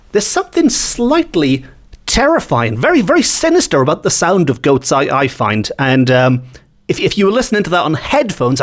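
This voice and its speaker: A man from Wales hosting a storytelling podcast, retelling a folk tale conversationally with chatty asides, repeated phrases for emphasis and self-corrections. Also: a little high.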